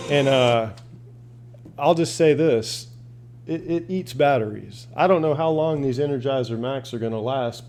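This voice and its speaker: Casting: male hobbyist, warm soft-edged voice, unscripted, folksy, plain-spoken, happy to ramble while demonstrating equipment. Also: mid-range voice. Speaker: shortwave radio hobbyist asking viewers for advice. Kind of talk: casual unscripted demonstration and chat.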